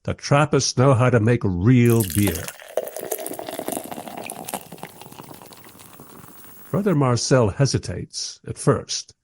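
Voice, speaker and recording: A man playing a wry documentary maker, narrating a radio play in the first person with expressive, husky delivery. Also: medium pitch, a little slow, background noise. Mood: wry, enthusiastic. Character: wry, enthusiastic documentary maker narrating his historical encounters.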